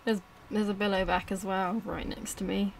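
A woman's voice: medium pitch, level tone, moderate pace, creaky, slight background noise, poor audio. A woman giving casual, informal let's-play commentary, muttering to herself and to the game as she plays. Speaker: gaming video host.

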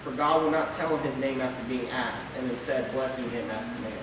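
A man's voice: mid-range voice, a little fast, far from the mic, noisy background. Young man preaching in an echoey church. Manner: reads steadily from a script at the pulpit, with occasional stumbles and filler. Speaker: lay preacher. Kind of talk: sermon read from notes. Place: small church sanctuary. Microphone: pulpit mic, camera at the back. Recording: echoey church hall recorded from afar.

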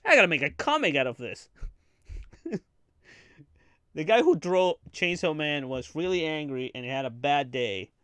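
A man chatting through a live reaction stream, giggly and rambling, with crude jokes. His voice sits a little high for a man and sounds dry and close, with almost no room around it. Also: very animated, moderate pace, pauses often, a bit bright, clear, resonant tone, no room sound. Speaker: streamer reacting to anime trailers.

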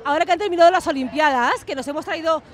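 Very high-pitched woman reporter from a Spanish broadcast. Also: very fast. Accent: Spain. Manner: chatty, playful, raising her voice over the festivities. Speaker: TV reporter interviewing festival-goers. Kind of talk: lively street interviews. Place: outdoors at a night street festival. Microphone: handheld reporter microphone. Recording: loud festival music and crowd behind.

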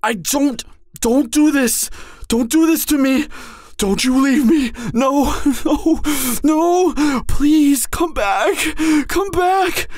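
A man's voice: very high-pitched, takes it slowly, a little mellow, no echo, clean studio sound. A man playing a devoted hunter boyfriend in an emotional audio roleplay, urgent and stammering, repeating himself as he pleads with his wounded lover. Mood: desperate, panicked.

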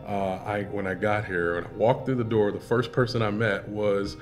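A man's voice: lowish voice, little pitch movement, normal speed. A middle-aged American man, a pastor, speaking casually in an interview. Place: indoor church sanctuary, seated interview. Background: guitar music.

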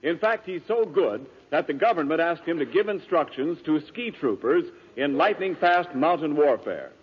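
A man's voice: on the high side, animated, normal speed, slightly rough. An American man reading advertisements as a 1940s radio announcer. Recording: muffled, reverberant 1940s radio recording.

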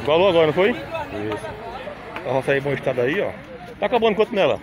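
A man with a Northeastern Brazilian accent talks quickly in a fairly high voice. He sounds like a chatty outdoor vlogger, commenting casually and asking traders questions, with a busy crowd behind him. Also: big pitch swings. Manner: chatty, repeats tag questions. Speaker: vlogger touring a livestock fair. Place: outdoors at a livestock fair. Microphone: handheld phone behind the camera.

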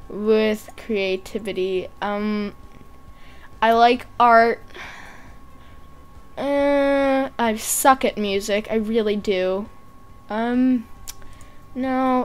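A woman with a high voice giving casual, chatty commentary as a gaming video host, thinking aloud in short offhand remarks. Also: clear, resonant tone.

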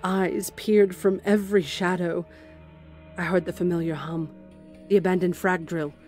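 A woman's voice: fairly low pitch, steady tone, unhurried, a little mellow, soft background music, natural voice. A woman voicing a grim, zealous warrior nun who recounts a horror in solemn, intense dramatic narration. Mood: grim dread.

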